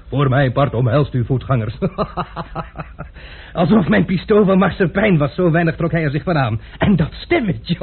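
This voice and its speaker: An actor playing a worldly nobleman and swordsman who speaks in an ironic, lordly, amused way with a husky voice, heard on a tinny old radio recording. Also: a little high, lively intonation, measured pace, long pauses, heavily acted.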